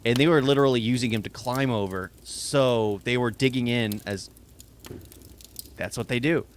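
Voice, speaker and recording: A man's voice: mid-range voice, normal speed, a little hiss or hum. A young man co-hosting a podcast, casually and earnestly walking through movie scenes in detail. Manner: earnest, explains scenes at length. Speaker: podcast co-host.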